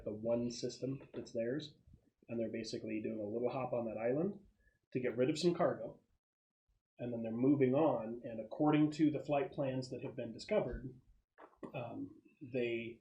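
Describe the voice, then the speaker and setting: A man's voice: medium pitch, a little mellow. A man acting as game master, explaining the scenario in a conversational way and piecing details together as he goes. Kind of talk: casual tabletop game narration. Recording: noisy room recording with clicks.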